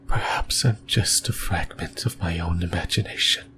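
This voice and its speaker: Male actor as possessive, lonely demon for whispered ASMR drama; low, halting, breathy, musing, with noticeable background noise. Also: natural voice. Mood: eerie, musing. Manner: slow, halting, rambling, intimate. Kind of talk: creepy whispered ASMR roleplay monologue. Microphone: close microphone, whispering into the ear.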